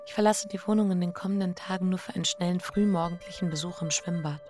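Eine Frau als verängstigte, schuldbewusste Ehefrau im Hörspiel. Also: female voice, on the low side, fairly even intonation, fast talker, a little mellow, natural voice. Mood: quiet, pensive. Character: anxious, guilt-ridden adulterous married woman. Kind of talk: radio drama acting. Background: music.

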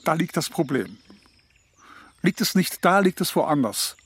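A man's voice: a little high, big pitch swings, unhurried, breathy edge, exaggerated voice. A man playing Good Advice come to life, a pompous, touchy, ponderous figure in a children's radio play. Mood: pondering. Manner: ponderous, pedantic, riddling.

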